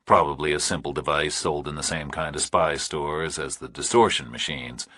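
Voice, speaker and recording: A man's voice: extremely low pitch, normal speed, fairly bright tone, husky, no room sound, clean studio sound. A man reading scripted thriller narration as an audiobook narrator.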